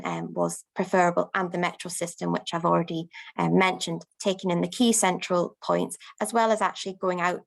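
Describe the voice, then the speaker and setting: Woman, city promoter, unscripted talk, frequent ums, gravelly edge. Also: on the low side. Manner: frequent "um" fillers, upbeat. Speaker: destination promoter.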